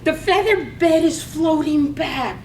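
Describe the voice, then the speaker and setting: An actress playing a scandal-loving village wife in a stage reading of a poetic radio drama. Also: female voice, takes it slowly, a little mellow. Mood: entranced. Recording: echoey room, distant mic, faint rumble.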